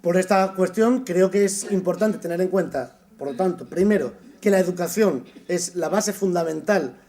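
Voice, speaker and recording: Male university lecturer from Spain, high pitch, unhurried lecture with frequent hesitations. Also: mellow. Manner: thoughtful, with frequent "eh" pauses and restarts. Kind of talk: summer course lecture.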